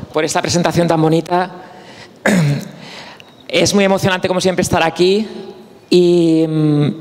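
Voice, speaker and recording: A man from Spain gives a personal talk at a conference in a fairly high voice, slow and reflective, pausing and restarting as he thinks. His words ring out with a long reverb in the hall. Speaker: guest speaker.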